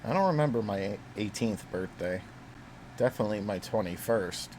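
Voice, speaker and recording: Stuffed-up man with a low voice. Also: animated, moderate pace, darkish tone, slight background noise, low-quality recording. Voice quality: slightly raspy. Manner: easygoing, rambling with frequent fillers. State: stuffed up and sniffly from allergies. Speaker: reaction video host. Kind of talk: casual chat to camera.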